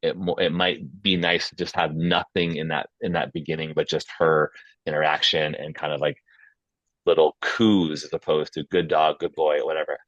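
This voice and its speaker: Casting: male moderator, deep voice, informal and encouraging, dull call audio. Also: level tone, normal speed, fairly bright tone, no room sound. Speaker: host moderating a script feedback session. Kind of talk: casual facilitation and critique. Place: online video call.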